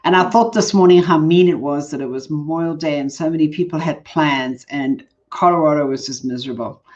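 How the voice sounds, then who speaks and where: An older woman with a South African accent, speaking as a guest coach in a relaxed interview and laughing often. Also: low-pitched, brisk, lo-fi sound. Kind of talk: casual interview chat.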